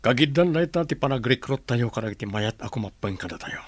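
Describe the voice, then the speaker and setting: A man's voice: medium pitch, lively intonation, fast talker, no echo, natural voice. A man playing the commanding leader of a secretive group in a radio drama, speaking with authority. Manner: authoritative, instructing.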